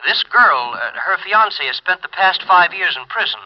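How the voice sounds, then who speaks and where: A man's voice: sits high, animated, moderate pace, a little hiss or hum. An actor playing a hesitant middle-aged male insurance executive speaking over a telephone in a 1950 radio drama. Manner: hesitant, persuasive. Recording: thin phone-line radio sound.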